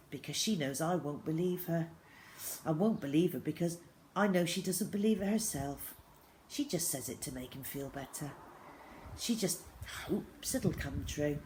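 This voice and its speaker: A woman reading a children's novel aloud as a first-person diary, with expressive, deliberate phrasing. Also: sits low, slight background noise.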